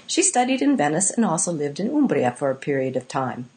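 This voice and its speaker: A woman hosts a language-learning podcast with an upbeat, scripted delivery. Her voice is slightly husky and sits a bit low. She slips Italian phrases into her English. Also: sing-song, brisk, mellow. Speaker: podcast host.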